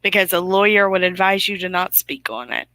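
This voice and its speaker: A woman's voice: on the low side, lively intonation, brisk, pushed and bright, no echo. A woman hosting an online broadcast in casual, opinionated chat, emphatic and fond of rhetorical questions.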